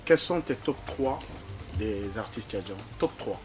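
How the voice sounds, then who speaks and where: A man hosting an interview, asking measured, formal questions. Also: lowish voice, animated. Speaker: interviewer host. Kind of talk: radio-style interview questions. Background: music.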